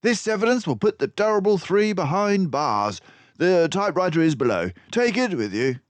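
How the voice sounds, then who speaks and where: A man voicing a stern, calm mouse detective with a formal, declamatory manner in a storybook. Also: high voice, normal speed, no room sound, voice pushed up. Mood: brisk, modest.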